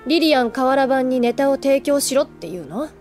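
A woman playing a cool, gentle older schoolgirl in an anime audio drama, guarded and wistful, speaking in an unhurried, understated way. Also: high-pitched, lively intonation, faint music behind. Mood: wry, calm.

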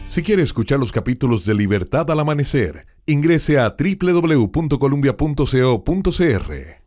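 A man's voice: medium pitch, very animated, moderate pace, darkish tone, faint music behind. A man reading scripted promotional announcements for a radio serial.

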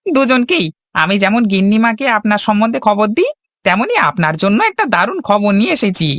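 A man voicing a cheeky, wheedling servant of a village landlord in an animated story. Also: high-pitched, a little fast, no echo, clean studio sound. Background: faint background music.

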